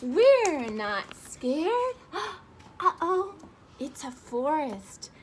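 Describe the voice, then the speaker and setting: A woman with a very high voice reads aloud to young children in an expressive, sing-song way. Her pace is slow and her delivery is highly animated, with dramatic gasps. She is recorded outdoors with some ambient noise behind her.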